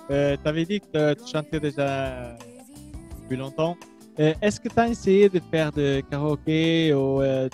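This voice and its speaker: Radio co-host guy, voice a bit high, music soft behind him. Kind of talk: radio interview questions.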